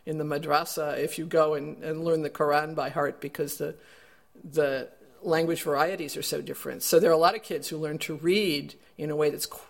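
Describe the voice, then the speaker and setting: Creaky-voiced man explaining thoughtfully. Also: fairly high pitch, fairly even intonation, fairly quick. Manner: thoughtful, explanatory, self-correcting. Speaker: education researcher guest. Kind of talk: interview answer.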